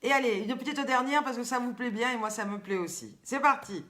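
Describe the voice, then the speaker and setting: A woman's voice: fairly high pitch, a little fast. An older woman with a Southern French accent, hosting a chatty live stream, asking riddles and teasing viewers by name. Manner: lively, teasing, chatty, reads viewers' comments aloud. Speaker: host.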